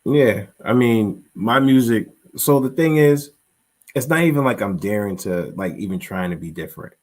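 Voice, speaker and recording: A man as an interview guest, talking in a halting, rambling way full of fillers. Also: on the low side, lively intonation. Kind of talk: conversational interview.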